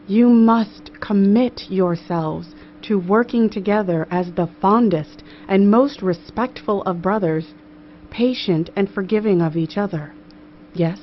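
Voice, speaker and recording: A woman voicing an earnest, gracious queen giving a formal, measured address to her council in an audiobook. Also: mid-range voice, natural voice. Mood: urgent, pleading, then earnest, solemn. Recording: muffled audio with clear background noise.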